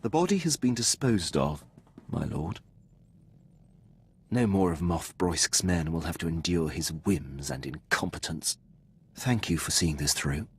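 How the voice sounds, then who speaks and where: An actor playing a dutiful, precise Imperial officer in a video game, speaking formally and deferentially. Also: male voice, sits low, animated, a little slow, husky, exaggerated voice. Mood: earnest, dutiful.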